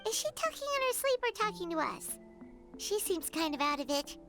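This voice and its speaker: A tiny, caring fairy companion from a video game. The voice is childlike, squeaky and extremely high, bouncing up and down with fussy energy. Music plays clearly underneath. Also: female voice, sing-song, brisk, exaggerated voice. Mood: puzzled, worried. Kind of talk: video game character acting.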